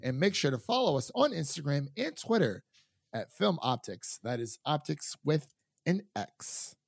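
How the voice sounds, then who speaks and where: A man's voice: fairly high pitch, sing-song, moderate pace, gravelly, breathy edge, no room sound, studio quality. A man hosting a film review podcast, chatting in an informal, enthusiastic, rambling way. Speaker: podcast host. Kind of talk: casual film review chat.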